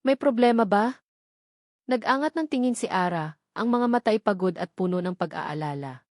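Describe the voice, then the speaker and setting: A woman's voice: fairly low pitch, animated, a little fast, a little mellow, dry recording, studio quality. A woman reading a scripted dramatic story as its narrator.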